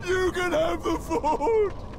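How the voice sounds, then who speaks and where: Frantic, drawn-out gravelly olog brute. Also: male voice, very high voice, a loud crowd, very noisy, heavily acted. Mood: panicked pleading. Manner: frantic, repetitive, blustering pleas. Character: huge broken, panicked olog brute, pleading desperately. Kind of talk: video game cutscene acting. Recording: loud music over the voice. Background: loud game music.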